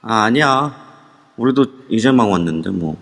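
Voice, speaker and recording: A man's voice: fairly low pitch, lively intonation, moderate pace, gravelly, fairly bright tone. A man playing a friendly young man who talks casually in an amateur audio drama. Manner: casual and chatty. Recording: reverb-heavy online audio.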